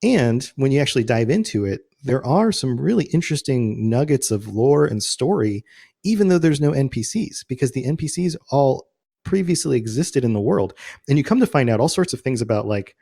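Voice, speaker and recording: Man, medium pitch, podcast host, casual chat, conversational explainer. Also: sing-song, a little fast, creaky, dark tone, slightly rough. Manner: conversational, explanatory, quotes imagined reactions. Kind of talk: casual podcast chat about video game lore.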